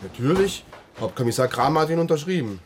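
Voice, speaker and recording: An actor playing a terse, stubborn and slightly awkward police detective in a radio drama. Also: male voice, on the high side, big pitch swings, fast, slight background noise. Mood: reluctant. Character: dogged, slightly flustered male police detective.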